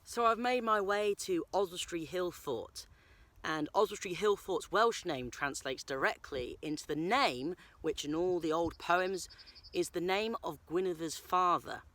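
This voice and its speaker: A man's voice: sits high, a little slow, a bit bright, dry recording. A man telling stories as a travel vlogger and presenter, with a theatrical delivery, recorded on location. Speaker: storyteller and presenter. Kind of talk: storytelling travel vlog, part narration, part talk on location. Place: outdoors in the countryside.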